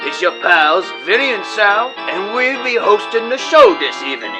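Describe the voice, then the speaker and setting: A man's voice: sits high, very animated, normal speed, music in the background, poor audio. A man voicing a bossy, brash sidekick who announces an audio drama in a showy way. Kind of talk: audio drama show intro.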